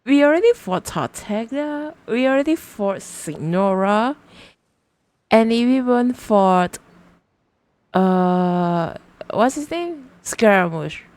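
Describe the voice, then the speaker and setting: A woman doing casual live commentary while she plays a game, excitable and exclamatory, often talking to herself. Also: medium pitch, takes it slowly, no room sound. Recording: faint game music behind voice. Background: game music.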